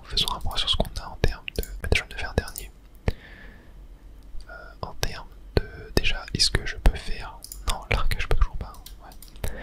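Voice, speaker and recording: A person whispering casual, hesitant ASMR commentary while playing a video game, in a soft unvoiced whisper. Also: very high-pitched. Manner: casual, hesitant thinking aloud. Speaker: video game streamer narrating own play.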